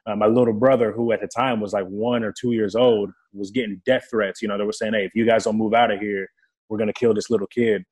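A young man from Congo, French his first language, speaks in a fairly low voice. He recounts his past in an earnest, vivid way, as a guest on an interview show. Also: fairly even intonation, quick pace, a little mellow, slightly rough. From Democratic Republic of Congo. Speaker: guest, player engagement staff for a football team. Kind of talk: casual interview storytelling.

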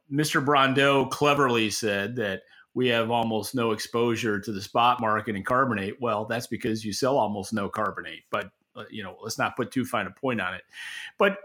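A man's voice: medium pitch, sharp tone. A man co-hosting a podcast, giving opinionated, conversational commentary with a wry, dry sense of humor. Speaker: podcast co-host and market analyst.

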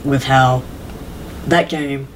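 A man's voice: mid-range voice, steady tone, very slow, slight background noise, poor audio. A man co-hosting a podcast in casual conversation, laid-back and slangy but enthusiastic about what he describes. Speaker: podcast co-host.

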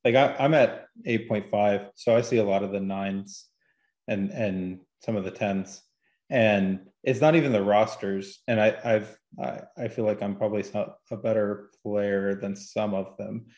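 Low-voiced man in an echoey room. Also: fairly even intonation, a little slow, darkish tone. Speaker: podcast guest. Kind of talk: casual discussion of game statistics. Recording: roomy, echoey sound.

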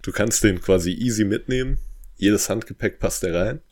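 Low-voiced, playful young man. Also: normal speed, darkish tone. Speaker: podcast co-host. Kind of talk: casual podcast chat.

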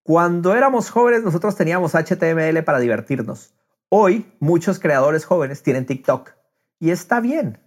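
Higher-voiced man chatting on a podcast. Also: expressive, moderate pace. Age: middle-aged. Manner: mixes English phrases into speech. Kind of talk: casual podcast conversation.

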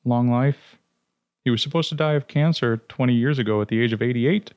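A man's voice: mid-range voice, fairly quick, darkish tone, no echo, voice pushed up, lightly acted. A man voicing a jackal-headed male death god who whimpers defensively. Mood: plaintive.

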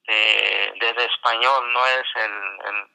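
Older guy on a radio call-in, raspy bright voice, lots of um and restarts, second-language spanish. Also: lowish voice, fairly even intonation, takes it slowly, poor audio. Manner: hesitant, many restarts. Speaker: interview guest, graduate. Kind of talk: radio interview, personal story.

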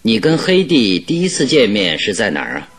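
An actor in a radio drama playing a genial, self-assured detective with a teasing, politely probing manner. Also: male voice, on the low side, huge pitch swings, a little fast, fairly bright tone. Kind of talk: Chinese radio drama acting.

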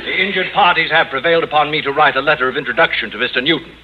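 An actor playing a stern, formal, authoritative school board official in 1950s radio comedy. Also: male voice, fairly high pitch, rapid-fire, background noise, lightly acted. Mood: stern, grave. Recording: muffled old radio recording.

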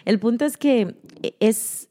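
A woman's voice: fairly high pitch, takes it slowly, mellow. Candid bilingual woman chatting. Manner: candid, laughs often, mixes in English words. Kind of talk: casual conversation.

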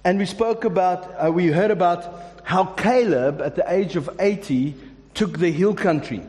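High-voiced man preaching in a reverberant hall. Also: unhurried, a little mellow. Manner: casual, joking, with restarts. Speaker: pastor. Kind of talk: sermon. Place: church hall.

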